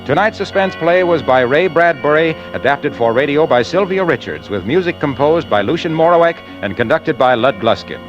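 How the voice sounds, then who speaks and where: A man's voice: fairly high pitch, animated, moderate pace, few pauses, muffled recording. An American man working as a 1940s radio announcer, formally and emphatically reading credits on a vintage recording. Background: faint music.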